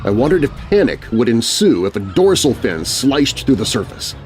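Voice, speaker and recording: A man narrating a scary storybook tale as a shaken, grumbling kid on family vacation, with expressive, dramatic storytelling and deliberate pauses. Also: medium pitch, voice pushed up, lightly acted. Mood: wary, imaginative. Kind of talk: first-person scripted story narration.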